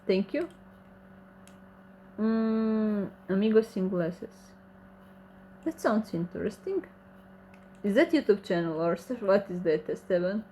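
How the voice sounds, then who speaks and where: A woman's voice: medium pitch, animated, takes it slowly, pauses often, dark tone, slight background noise, low-quality recording. A woman with a Croatian accent, who speaks Croatian natively, hosts a casual livestream Q&A. She reads viewer comments aloud and answers them in friendly, slightly broken English. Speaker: channel host reading chat questions.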